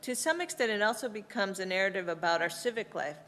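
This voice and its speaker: A woman's voice: medium pitch, expressive, quick pace, a bit bright. A woman researcher on an academic panel, explaining her work at length, thoughtful, with frequent fillers and restarts. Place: lecture hall. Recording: long hall reverb.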